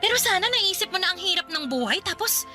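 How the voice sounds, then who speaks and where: A stern older sister, blunt and quick to scold, her bright, lively voice rising and falling a lot. She sits over soft background music in a radio drama. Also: very high-pitched, exaggerated voice. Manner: blunt, scolding, sharp retorts. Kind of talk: Filipino radio drama acting.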